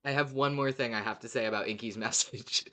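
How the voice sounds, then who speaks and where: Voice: medium pitch, fairly quick, sharp tone. A podcast co-host in casual fan discussion, agreeable and appreciative, often chiming in.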